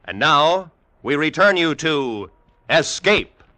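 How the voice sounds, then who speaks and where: A man's voice: a little high, sing-song, takes it slowly, heavy background noise. A man with an American accent announcing programmes in an old-time radio promotional style.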